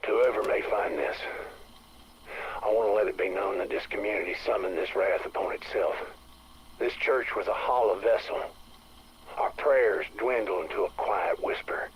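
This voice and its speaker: A male actor as a wrathful man of the church with a low voice. He delivers solemn, fire-and-brimstone judgment in a game audio log over faint noise. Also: normal speed, lightly acted, poor audio. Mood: wrathful, condemning. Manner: solemn, condemning.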